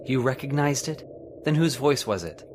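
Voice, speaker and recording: A man's voice: medium pitch, animated, moderate pace, noisy background, close to an everyday voice. A man playing a worried, skeptical, protective husband in an old-time radio horror drama, fretful and dramatic. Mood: concerned. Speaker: voice actor.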